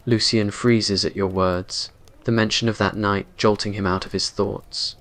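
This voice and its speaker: A man's voice: lowish voice, level tone, measured pace, a bit bright. A man reading scripted dramatic narration for a romantic ASMR roleplay in an earnest, brooding way. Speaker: story narrator.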